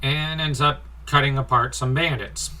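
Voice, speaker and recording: A man's voice: medium pitch, steady tone, moderate pace, sharp tone. A man giving an informal comic review in a nitpicky, critical way, given to sighing. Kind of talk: informal comic review commentary with read-out dialogue.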